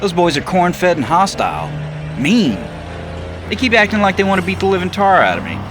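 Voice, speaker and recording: A man's voice: high voice, wildly swooping pitch, a little fast, noisy background, voice pushed up. A man doing a fretful, timid sidekick. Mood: worried warning. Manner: anxious, complaining. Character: timid, fretful male sidekick, reluctant and needling. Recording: music bed under the voice.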